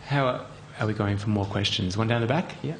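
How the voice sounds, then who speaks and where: A man moderating the question time at a public talk, brisk and businesslike. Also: fairly low pitch. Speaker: event moderator. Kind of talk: hosting a Q&A. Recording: echoey hall with background noise.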